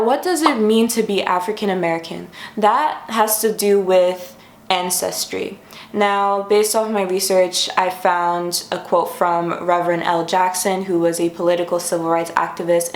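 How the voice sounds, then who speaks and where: A woman's voice: lowish voice, fairly even intonation, unhurried, reverberant. A young woman hosting a casual explainer vlog from her room, friendly and chatty, sometimes stopping to correct herself. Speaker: YouTube host. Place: her room, sitting on the floor.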